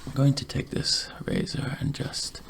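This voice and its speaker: A soft-spoken ASMR roleplayer as a barber, hushed and breathy, often slipping into a whisper, with courteous, soothing, slightly formal phrasing. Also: a little slow, slight background noise. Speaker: ASMR creator playing a barber.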